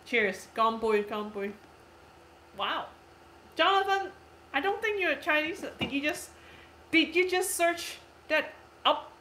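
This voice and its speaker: A woman's voice: high voice, expressive, slow, pauses often, pushed and bright, a little hiss or hum. A woman in her thirties whose first language is Cantonese, hosting a casual live stream, reading comments aloud, giggly and repeating herself. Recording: echoey room.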